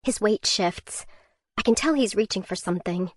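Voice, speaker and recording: A woman narrates a survival thriller in first person, tense and measured. Her voice sits at a mid pitch for a woman. The recording is dry and close, with no room around her. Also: dry recording. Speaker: audiobook narrator.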